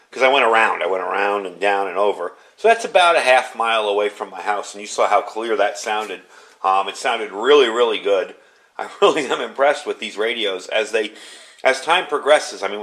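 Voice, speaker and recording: A man's voice: mid-range voice, a bit bright. A man giving a casual, unscripted product review in a chatty, conversational way.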